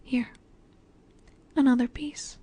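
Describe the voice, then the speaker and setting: A woman playing a dominant, nurturing snake-woman monster in an intimate roleplay audio, speaking in a gentle, coaxing way that often sinks toward a whisper. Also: sits high, level tone, takes it slowly, husky, full character voice. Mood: gently firm.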